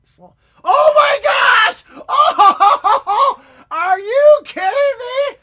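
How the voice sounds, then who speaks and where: Guy hosting a live stream, talks slow, bright tense voice, going hoarse, gets shouty and laughs a lot. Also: very high voice. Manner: excitable, bursts into loud shouts and laughs. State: losing his voice. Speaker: livestream host. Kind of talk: casual live stream chat.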